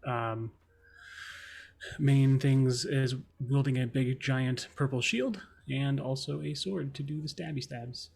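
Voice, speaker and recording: A man's voice: mid-range voice, slow pace, creaky, a little mellow, slightly rough. A man player introducing himself and his fighter character on a tabletop stream, with playful wording. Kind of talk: self-introduction.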